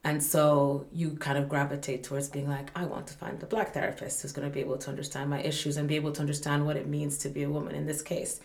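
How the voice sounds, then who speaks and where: A woman's voice: deep voice, flat delivery, fast talker, vocal fry, few pauses, a little mellow, roomy sound. A woman from Malawi, a humanitarian staff representative, speaking earnestly as a podcast guest, often circling back with fillers and restarts. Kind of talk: podcast conversation.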